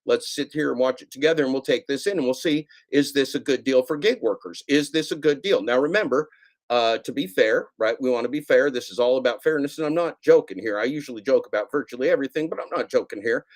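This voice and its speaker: A man's voice: mid-range voice, fast talker. A man hosting a casual livestream, rambling and repeating himself with a sarcastic edge. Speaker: streamer host.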